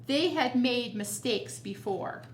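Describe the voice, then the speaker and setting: A woman's voice: fairly high pitch, lively intonation, slow. A woman reading a picture book aloud to children as a storytime reader, expressively and with chatty asides. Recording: roomy echo, noticeable background noise.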